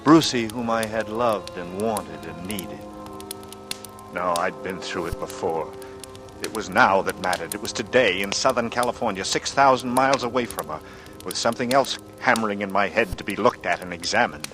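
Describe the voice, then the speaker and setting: Actor playing a deep-voiced brooding noir man. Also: close to an everyday voice. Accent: American. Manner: intense, measured, clipped confessional delivery. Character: brooding, calculating male writer with a smooth charm. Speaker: lead actor and narrator. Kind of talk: 1940s radio noir acting, first-person narration. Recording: vintage radio drama, music underneath. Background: dramatic music.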